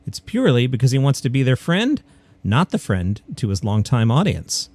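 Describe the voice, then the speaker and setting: A man's voice: medium pitch, sing-song, normal speed, darkish tone, no room sound. A man hosting a comedy news podcast, reading stories and adding wry, opinionated asides. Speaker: podcast host. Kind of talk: comedy news commentary, partly scripted.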